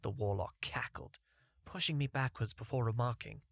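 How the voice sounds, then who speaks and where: A man's voice: medium pitch, moderate pace, creaky, a bit bright, no room sound. Man narrating a story, dry close sound. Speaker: audiobook narrator. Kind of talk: scripted first-person story narration.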